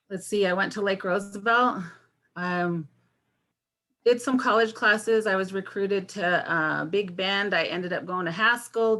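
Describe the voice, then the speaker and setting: A woman's voice: on the low side, sharp tone. Fifty-year-old woman candidate on a video call. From Washington State, US. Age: 50s. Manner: halting, many fillers and restarts. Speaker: election candidate. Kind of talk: candidate forum introduction.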